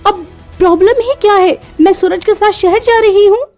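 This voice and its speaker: A woman's voice: very high voice, normal speed, a little mellow, soft background music. A woman voicing a haughty, complaining young city-bred daughter-in-law in a cartoon story, insistent and snobbish. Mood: haughty defiant. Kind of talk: Hindi cartoon story voice acting.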